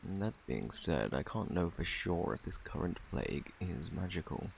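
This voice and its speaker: A man with a British accent playing a courteous, scholarly plague doctor in a soft-spoken ASMR roleplay, formal and gently reassuring. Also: lively intonation, normal speed, a little hiss or hum. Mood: gentle, attentive. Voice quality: very deep, creaky, hushed. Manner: formal, gentle, reassuring, old-fashioned wording. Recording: faint night insect ambience.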